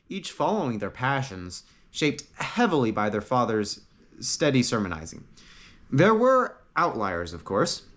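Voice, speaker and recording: Animated male fantasy storyteller. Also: medium pitch. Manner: dramatic pauses, short emphatic phrases. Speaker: audiobook narrator. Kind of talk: scripted fantasy story narration.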